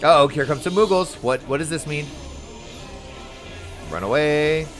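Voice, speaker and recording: A man streams his gameplay in a bright, highish voice with some game music behind it. His delivery swings up and down a lot, full of enthusiastic exclamations. He talks casually to his viewers as he plays. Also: a little slow. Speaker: video game streamer playing an online role-playing game. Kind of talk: live gameplay commentary, casual chat to viewers.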